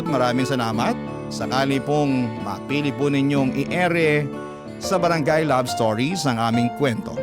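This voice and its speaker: A man with a Filipino accent, a radio host narrating a listener's letter in dramatic storytelling style. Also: mid-range voice. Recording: loud plucked guitar music under voice.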